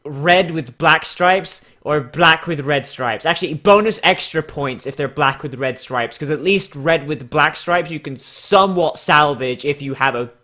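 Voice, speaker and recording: A man's voice: a little high, unhurried, hardly any pauses, bright, forceful tone. A man giving casual comedic commentary and mock dramatic readings in a sarcastic, theatrical, foul-mouthed way. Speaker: YouTube host.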